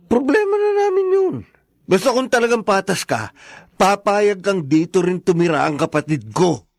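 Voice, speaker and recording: A stubborn husband in a radio drama, firm and insistent. His voice sits somewhat high for a man and is recorded very dry. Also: big pitch swings, normal speed, no echo, exaggerated voice. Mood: stubborn, defensive.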